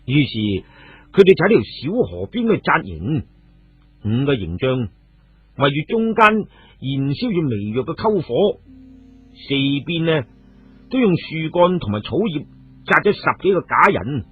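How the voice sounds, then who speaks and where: Husky, animated Cantonese male storyteller. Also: on the high side, unhurried. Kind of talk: dramatised radio story narration. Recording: muffled phone-like radio audio, music behind.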